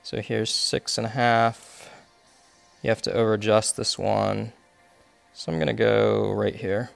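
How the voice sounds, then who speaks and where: A man's voice: on the low side, steady tone, measured pace, creaky, clear, resonant tone, soft background music. A man streaming a mobile golf game, explaining each of his shots step by step with many fillers while congested and coughing now and then. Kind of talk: live gameplay commentary.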